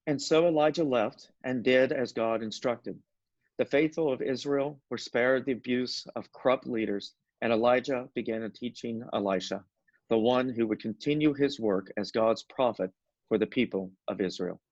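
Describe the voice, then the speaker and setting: Mid-pitched man narrating scripture, dry sound. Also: moderate pace, a little mellow, dry recording, lo-fi sound.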